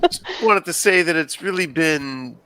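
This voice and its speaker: A man's voice: on the high side, unhurried, bright, forceful tone, clear, resonant tone. A man reading the part of an eager, awkward teenage guitarist at a film script table read. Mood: sheepish.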